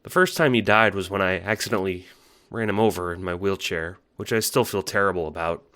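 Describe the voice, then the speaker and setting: A man's voice: sits low, brisk, a bit bright. A man playing a meek, earnest young male troll who talks with a hesitant, apologetic stammer in an audio drama monologue. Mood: earnest, nervous. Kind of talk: audio drama monologue, recounting adventures as a log.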